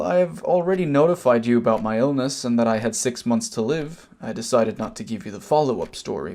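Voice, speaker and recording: An amateur actor with an Irish accent playing a wronged older brother back from abroad, telling his story in a deliberate, pointed way. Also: mid-range voice, creaky, a little mellow, close to an everyday voice. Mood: hurt, resolute. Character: wronged older emigrant brother, cancer survivor, measured, bitter. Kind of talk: amateur stage drama acting, long monologue.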